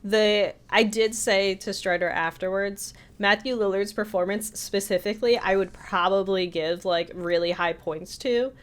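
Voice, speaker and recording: A woman's voice: medium pitch, fairly even intonation, measured pace, few pauses, smooth. A woman co-hosting a podcast, telling stories in a casual, conversational way. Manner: conversational storytelling, voices quotes from others. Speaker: podcast co-host. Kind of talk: casual chat, telling anecdotes.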